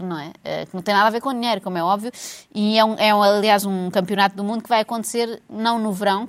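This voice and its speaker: A woman with a European Portuguese accent, co-hosting a casual chat and ranting sarcastically about football and money. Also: medium pitch. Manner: sarcastic, animated rant.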